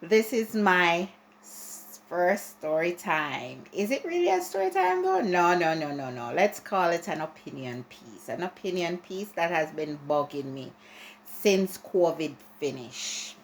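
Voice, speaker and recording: A woman's voice: lowish voice, very animated, measured pace, slight background noise. A Jamaican woman giving a chatty, ranting opinion piece to her viewers, often restarting and repeating herself. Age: adult. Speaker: vlogger. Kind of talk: casual opinion monologue to camera.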